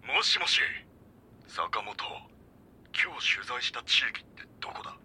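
A man's voice: mid-range voice, big pitch swings, a little slow, heavy background noise. A man playing a brusque, commanding middle-aged magazine editor-in-chief in an audio drama. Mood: urgent. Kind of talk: Japanese audio drama acting.

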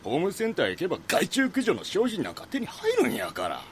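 An actor playing a blunt, casual local householder with a Kansai accent in an audio drama. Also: male voice, sits high, wildly swooping pitch, fast, slight background noise. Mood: brusque. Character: blunt local man, brusque, matter-of-fact householder. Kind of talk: Japanese audio drama dialogue.

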